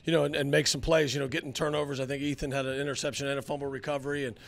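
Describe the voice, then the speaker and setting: A middle-aged man, a head football coach, answering postgame questions in a halting way with many fillers, into a handheld mic indoors. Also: medium pitch, flat delivery, gravelly. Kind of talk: postgame interview. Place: indoor locker room area. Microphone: handheld mic held by interviewer.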